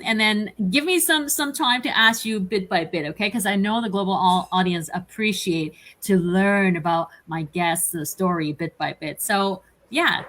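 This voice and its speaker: A woman's voice: mid-range voice, fairly bright tone. Female host, from Canada, live online chat show; upbeat, emphatic, repeats words.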